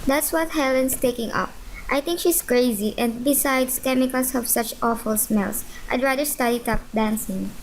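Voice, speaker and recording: Actress as pouty, possessive schoolgirl for radio drama; high pitch, pert, faint background noise. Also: normal speed, lightly acted, low-quality recording. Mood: chatty, flippant. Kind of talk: radio play acting.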